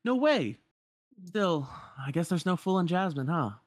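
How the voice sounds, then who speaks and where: Guy doing a friendly young game hero, informal and breezy, higher voice, dry sound. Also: big pitch swings, measured pace, no room sound. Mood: wistful, upbeat. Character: friendly, easygoing young street lad from a game.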